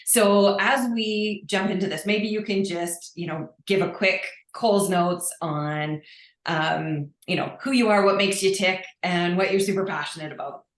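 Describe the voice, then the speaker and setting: Woman, interviewer, very low voice, chatty fillers, echoey room. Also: unhurried. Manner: warm interviewer, many fillers, affirming. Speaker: podcast host. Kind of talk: interview conversation.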